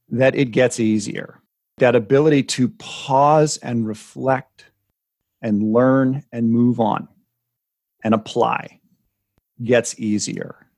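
A man's voice: mid-range voice, darkish tone, husky, dry recording, studio quality. A man co-hosting a podcast in casual chat, reflective and thoughtful, often repeating words as he thinks. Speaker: podcast co-host, coach.

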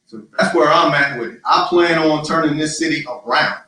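Man, loud voice, emphatic rallying talk, slightly high pitch, very echoey, distant. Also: moderate pace. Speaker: city council candidate. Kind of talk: campaign interview answers. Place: live-streamed social media forum.

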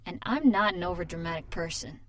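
A woman's voice: on the low side, brisk, fairly bright tone, close to an everyday voice. A woman playing a nervous young female tattoo artist in a radio drama, informal and self-conscious, both narrating and in dialogue. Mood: confiding, wry. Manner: informal, hesitant in dialogue, confiding when narrating. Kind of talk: radio drama acting with first-person narration.

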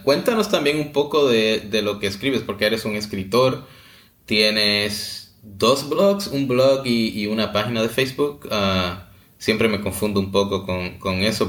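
Deep-voiced man in an echoey room. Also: a bit bright. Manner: halting, many fillers and restarts. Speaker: podcast co-host. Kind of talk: casual podcast chat.

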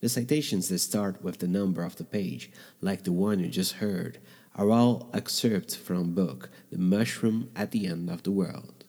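A low-voiced man with a husky edge reads his podcast script in a reflective, measured way. He speaks English as a second language, his first being Portuguese. The recording carries a long, trailing reverb. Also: a little mellow. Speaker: podcast host. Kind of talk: scripted narration. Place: bedroom in a noisy neighborhood.

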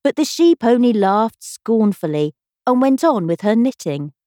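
A woman reading a children's classic aloud as expressive storybook narration. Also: on the high side, measured pace, no echo, studio quality. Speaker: audiobook reader.

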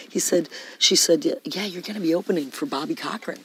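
A woman musician, the guest, telling stories in a casual interview, animated and laughing, often saying "man". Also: lowish voice, a little fast, slight background noise.

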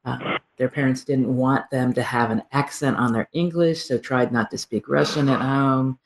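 A woman hosting a radio interview show, chatty and warm, laughing often and thinking aloud. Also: a bass voice, steady tone, normal speed, slight background noise, rough recording. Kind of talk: casual interview chat.

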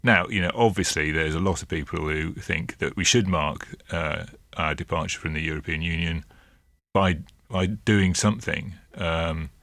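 A man co-hosting a political podcast, explaining in a measured, hesitant way with many fillers and sounding a little under the weather. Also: deep voice, a bit bright, dry recording. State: a bit ill. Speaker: co-host and political editor. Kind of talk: casual political podcast chat.

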